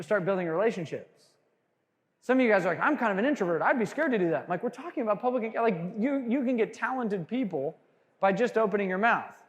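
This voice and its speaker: A man with a high voice works a crowd at a sales seminar, joking and asking questions. His voice carries a long reverb, as if he is speaking in a big hall. He sounds punchy and animated. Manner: animated, jokey, quizzes the crowd. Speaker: sales trainer speaking to a room. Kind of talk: energetic seminar talk to a live audience.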